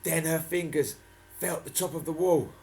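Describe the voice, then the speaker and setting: A man's voice: a little high, fairly even intonation, moderate pace, gravelly, a little mellow, a little hiss or hum. A man reading a storybook dramatically and breaking off for chatty, playful asides.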